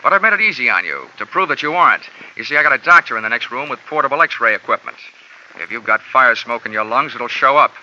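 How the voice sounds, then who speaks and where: An actor playing a wisecracking, tough insurance investigator who also narrates, in a vintage radio drama on a dull old recording. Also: male voice, medium pitch, expressive, fast talker, pushed and bright, a little hiss or hum. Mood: wry. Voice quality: dry, sardonic wisecracks. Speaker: narrator and lead. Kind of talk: 1950s radio drama acting.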